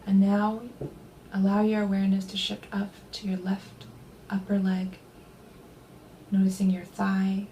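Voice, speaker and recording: A woman leading a guided body scan meditation, speaking gently and unhurriedly in a soothing way, with soft, breathy phrase endings. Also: mid-range voice, monotone, measured pace, a little hiss or hum, low-quality recording.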